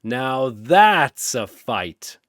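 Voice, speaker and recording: Man playing a blunt, gruff brawler. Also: medium pitch, big pitch swings, drawn-out words, a bit bright, no room sound, clean studio sound, heavily acted. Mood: exhilarated. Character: gruff, impatient male brawler, eager to fight.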